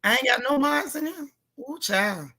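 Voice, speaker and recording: A woman hosting a casual live recap chat, with a loud, gravelly, hoarse voice, greeting viewers by name with folksy slang. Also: high-pitched, animated, normal speed. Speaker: livestream host.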